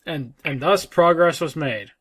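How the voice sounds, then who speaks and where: A man's voice: on the high side, a little slow, fairly bright tone, slight background noise. A man co-hosting a podcast, chatting casually and informally about games, with some swearing. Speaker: podcast co-host. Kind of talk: casual gaming chat.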